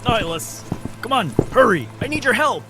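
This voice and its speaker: A man's voice: extremely high pitch, big pitch swings, normal speed, pitched above the performer's own voice. A man voicing a burly, kindly baker father in a tabletop fantasy game, with music behind him. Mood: urgent, hurried.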